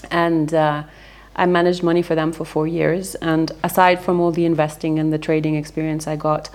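A woman's voice: low voice, flat delivery, moderate pace, a little mellow. A woman in her thirties, from England, telling her career story candidly in a casual interview, with frequent fillers. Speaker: interviewee, company founder.

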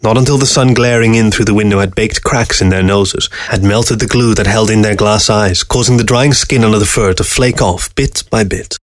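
A man narrating a scripted short story in a measured, literary delivery. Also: fairly low pitch, no room sound, studio quality. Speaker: story reader.

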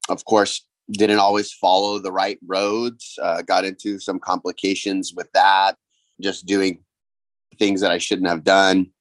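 A man from Hawaii, a guest on a podcast, talking about his life in a hesitant, reflective way with many pauses and restarts. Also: low-pitched, no room sound, poor audio. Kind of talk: casual interview.

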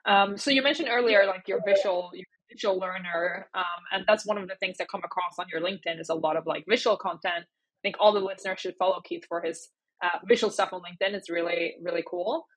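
A woman interviews a guest in an informal, chatty style. Her voice sits on the low side with a husky texture, and she peppers her phrasing with "like". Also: quick pace, low-quality recording. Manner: informal, conversational, frequent "like". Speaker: podcast host, interviewer. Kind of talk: casual interview chat.

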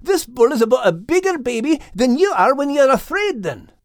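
Storybook dog: Scottish, feisty and protective, high swooping voice, dry sound. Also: male voice, sing-song, normal speed, dry recording, heavily acted. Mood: incredulous, stern.

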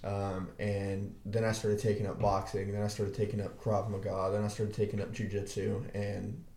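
A young man from Arkansas with a deep voice, co-hosting a podcast and telling laid-back stories full of restarts and swearing. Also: little pitch movement, normal speed, mellow. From Arkansas, USA. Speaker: podcast co-host. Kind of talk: casual chat. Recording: echoey room.

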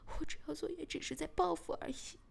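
A woman's voice: extremely high pitch, steady tone, brisk, creaky, pitched above the performer's own voice, heavily acted. A woman voicing a frightened but defiant young female captive in a whispered storybook reading. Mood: tense, pleading.

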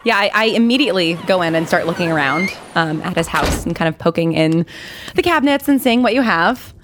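A woman's voice: on the low side, animated, brisk, noisy background. A woman improvising casually as a player in a tabletop game.

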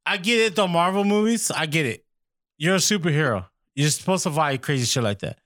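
A man's voice: fairly high pitch, lively intonation, fairly quick, a bit bright. A married man in casual chat, ranting profanely and stammering when worked up. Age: adult, married.

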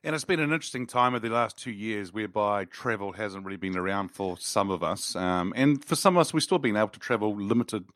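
A man's voice: fairly low pitch, lively intonation, fairly quick, few pauses, a bit bright, no room sound, studio quality. A man hosting a leadership podcast, reading his opening and then interviewing a guest in an upbeat, emphatic way. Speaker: podcast host. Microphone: video call.

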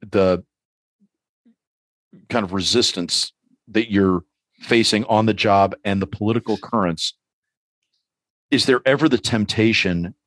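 A man's voice: fairly low pitch, dry recording. A middle-aged man hosting a podcast, talking casually in long, rambling, philosophical asides. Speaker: podcast host.

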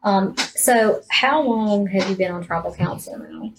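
A woman's voice: medium pitch, big pitch swings, a little slow. A woman hosting a casual interview, asking questions and giving short acknowledgements. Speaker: podcast host, interviewer. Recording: slightly distant mic, noisy background, clicks.

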